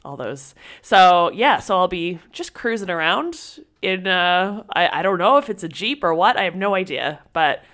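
A woman's voice: lowish voice, very animated, moderate pace, hardly any pauses, a bit bright. Chatty woman getting over a cold. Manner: chatty, laughs often, restarts sentences. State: stuffy, slightly raspy from a cold. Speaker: podcast co-host. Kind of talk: casual chat.

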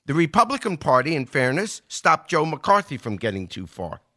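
Bright-voiced American man explaining politics. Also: on the high side, big pitch swings, moderate pace. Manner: explanatory, emphatic, rhetorical questions. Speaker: podcast host giving political commentary. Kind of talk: conversational political commentary.

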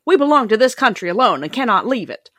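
A woman's voice: a little high, big pitch swings, fast, a bit bright, no room sound, studio quality, voice pushed up. A woman voicing a courteous, regal king of winged monkeys in a storybook, formal and firm. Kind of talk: storybook character reading.

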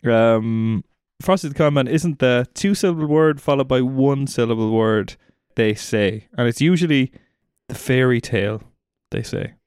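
A man's voice: medium pitch, moderate pace, a little mellow, smooth, no echo, clean studio sound. A man hosting a podcast, chatting casually and teasing playfully with some swearing as he runs a word game. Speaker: podcast host. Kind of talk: casual banter over a word guessing game.

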